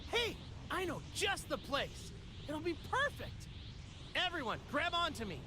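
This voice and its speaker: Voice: sits high, very animated, measured pace, noisy background. An actor playing a cheerful, naive martial-arts hero in an anime video game, folksy, boyish and eager. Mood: eager, easygoing. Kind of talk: English anime game dub acting. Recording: music behind.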